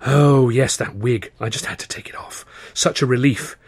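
A man's voice: medium pitch, normal speed, breathy edge, dry recording, voice pushed up, close to an everyday voice. A man acts out a young woman dancer in an audiobook. She is flighty and teasing, rambling breezily with little tag questions. The sound is close and dry. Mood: breezy. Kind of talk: acted audiobook dialogue.

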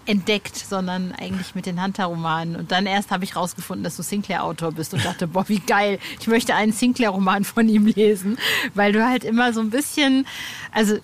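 A woman's voice: mid-range voice, brisk. A woman hosting a casual podcast interview, talking informally and enthusiastically like a fan with youthful slang.

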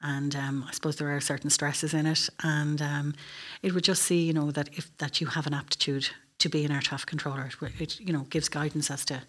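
A woman's voice: low-pitched, steady tone, fairly quick. A middle-aged woman with an Irish accent, explaining things earnestly as a guest in a conversational interview. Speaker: air traffic control officer, interview guest.

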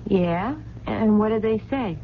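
A woman's voice: mid-range voice, slow pace. An actress playing a plain-spoken, compassionate American working-class woman in a radio drama, blunt and slangy. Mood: curious, blunt. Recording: muffled, noisy old radio broadcast recording.

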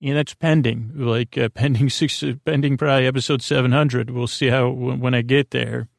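A man with a creaky, gravelly voice speaks into a close, dry microphone. He hosts a bedtime podcast in a rambling monologue, stammering and doubling back on his phrases. His manner is gently reassuring, and his pitch sits in an ordinary middle range for a man. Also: fairly even intonation, dry recording. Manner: halting, meandering, stammering and circling back on phrases. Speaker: podcast host.

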